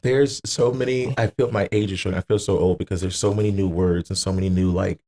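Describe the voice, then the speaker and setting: A man's voice: deep voice, steady tone, fast, dark tone. A man in a casual podcast chat, slangy and emphatic, telling exasperated stories with repetition and swearing. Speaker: podcast guest.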